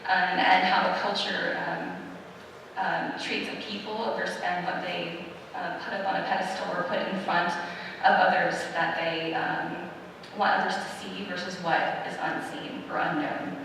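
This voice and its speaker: A woman's voice: fairly low pitch, flat delivery, a little slow, vocal fry, a bit bright. A woman artist speaks thoughtfully about her paintings, with frequent ums between her ideas. She sounds distant in a large, echoing gallery with a long reverb tail and a faint hiss underneath. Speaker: exhibiting artist. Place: art gallery. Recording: echoey gallery from far off.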